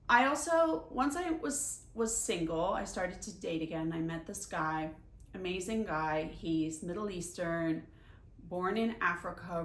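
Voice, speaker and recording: A woman in her forties from Iran, telling candid personal stories in a halting, confiding way with many restarts. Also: on the low side, a bit bright, reverberant. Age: 40s. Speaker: vlogger.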